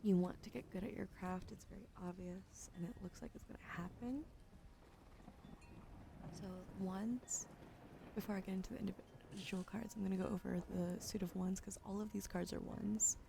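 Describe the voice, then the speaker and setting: Woman with a gravelly whisper. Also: mid-range voice, fairly even intonation, moderate pace, noisy background. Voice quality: gravelly fry, often whispered. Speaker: streamer doing tarot readings. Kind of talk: casual ASMR chat, soft-spoken.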